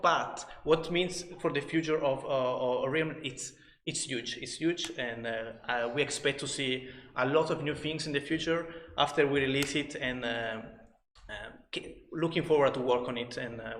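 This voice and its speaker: A man working as a community manager, opening a live stream and speaking haltingly as he searches for words. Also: on the high side, a bit bright, slight background noise. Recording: echoey room with long reverb.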